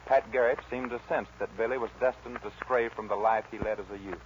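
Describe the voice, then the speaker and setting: A man's voice: fairly low pitch, fairly even intonation, fast talker. A man announcing and narrating a scripted 1940s radio show. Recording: dull, noisy 1940s radio recording.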